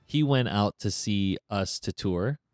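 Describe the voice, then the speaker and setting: Low-voiced man with teasing quips. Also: animated, unhurried, a little mellow, dry recording. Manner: dry teasing quips, laughing. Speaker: podcast co-host. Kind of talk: casual chat.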